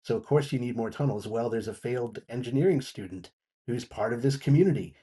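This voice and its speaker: A man giving an unscripted review as a guest on a talk show, in halting, often repeated phrases. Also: mid-range voice, lively intonation, mellow, slightly rough. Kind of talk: unscripted audio drama review.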